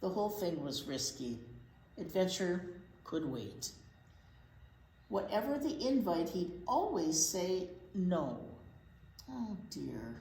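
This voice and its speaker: A woman reading a picture book aloud to young children as a storytime teacher, expressively, with deliberate pauses and little asides. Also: fairly low pitch, big pitch swings, dark tone. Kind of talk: animated picture book read-aloud for preschoolers. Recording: roomy echo, faint background noise.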